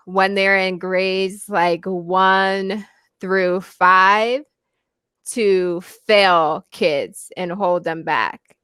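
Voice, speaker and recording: A woman's voice: medium pitch, steady tone, bright, forceful tone, clean tone, dry recording, clean studio sound. An American woman tutoring English over a video call, friendly and given to easy laughter. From United States. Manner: slow, friendly, laughs often. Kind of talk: casual video-call conversation.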